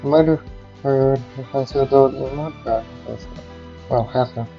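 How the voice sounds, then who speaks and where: A man's voice: medium pitch, fairly even intonation, normal speed, creaky. A man streaming a game, reading its text aloud. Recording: muffled audio with game music.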